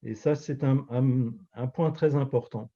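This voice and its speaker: A man in his fifties from Alsace whose first language is Alsatian, a pastor and author answering interview questions in a measured, reflective way with frequent hesitations. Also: medium pitch, fairly even intonation, dark tone, lo-fi sound. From Alsace, France. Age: 50s.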